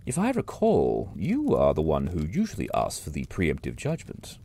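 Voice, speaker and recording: Dry stern listener, gravelly dark voice, cool and probing, faint music. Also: male voice, very deep voice, huge pitch swings, normal speed, heavily acted. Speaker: listener hearing the tale.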